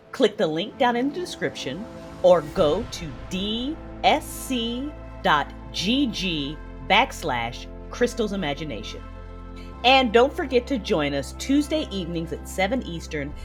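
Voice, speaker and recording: A woman host reading a scripted outro announcement for a podcast. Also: fairly low pitch. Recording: faint music behind the voice.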